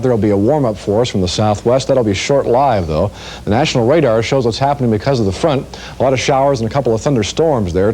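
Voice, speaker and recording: Man, American, TV weather forecaster, brisk rapid pace, medium pitch, chatty presentation. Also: darkish tone. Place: television news studio.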